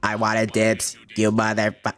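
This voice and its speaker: A man voicing an eager, trigger-happy cartoon combat robot in a blunt, excitable amateur comic dub. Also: on the low side, a little slow, clean tone, faint music behind. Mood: gleefully aggressive.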